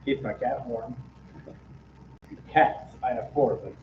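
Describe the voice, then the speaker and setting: A man tosses out quick joking asides during a casual chat. His pitch sits in the middle range. The room is echoey and the sound is slightly dull, with faint noise behind him. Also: steady tone.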